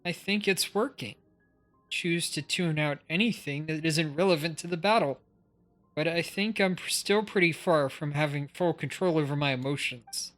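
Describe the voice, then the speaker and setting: A livestreamer with a bright, forceful tone reads game dialogue aloud. The delivery is playful and dramatic, with faint music behind it. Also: medium pitch, normal speed. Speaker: live streamer.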